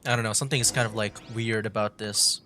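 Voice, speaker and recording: A man's voice: lowish voice, fairly even intonation, fast talker, bright, forceful tone, a little hiss or hum. A man streaming a story game, rambling with lots of fillers as he reacts. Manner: rambling, filler-heavy, laughs easily. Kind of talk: casual let's-play commentary. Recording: stream with game music behind.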